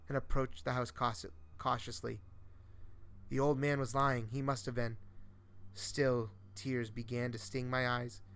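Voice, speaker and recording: A man reading a first-person horror story aloud as host, in a solemn, measured, dramatic storytelling style. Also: medium pitch, fairly bright tone, smooth. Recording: noticeable faint background noise.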